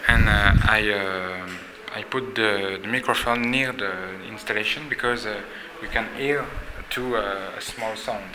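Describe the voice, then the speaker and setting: A man's voice: on the low side, fairly even intonation, measured pace, few pauses. A man interviewing an artist, asking long questions with frequent hesitations and fillers. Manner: hesitant, searching for words, many fillers. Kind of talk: exhibition interview. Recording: loud ambient noise at an exhibition.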